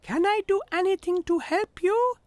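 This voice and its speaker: A high, squeaky little farm machine chatters eagerly. He is small and helpful, and every line bounces with keenness. The pitch sits far above an ordinary speaking voice. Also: normal speed, darkish tone, no echo.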